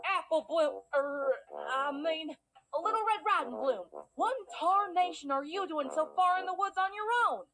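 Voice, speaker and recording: A woman's voice: very high-pitched, expressive, normal speed, fairly bright tone. A woman voicing a startled, folksy female cartoon wolf with a flustered, scolding manner.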